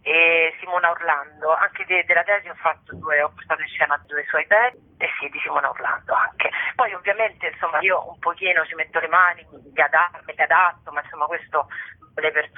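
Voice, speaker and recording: A woman's voice: deep voice, steady tone, fairly quick. A woman chatting casually by telephone as an actress interviewed on the radio. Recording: tinny, narrow telephone line.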